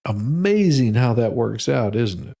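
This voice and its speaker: A man's voice: medium pitch, big pitch swings, unhurried, vocal fry, dark tone. An American man reading documents aloud as a host, with casual, sarcastic asides and a folksy manner.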